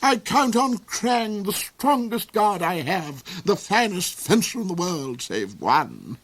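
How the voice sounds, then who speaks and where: A man's voice: sits high, big pitch swings, takes it slowly, fairly bright tone, pitched above the performer's own voice, full character voice. A man voicing a cold, aggressive villain of a duke in a storybook reading, declaiming melodramatically with snarls and squeals. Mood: frantic boasting.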